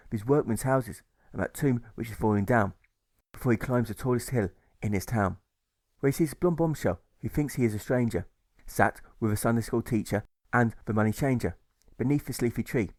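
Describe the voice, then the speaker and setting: A man's voice: mid-range voice, darkish tone, dry recording. A man reading a rhyming story as scripted narration, with a rhythmic storybook delivery. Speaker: author reading own audiobook.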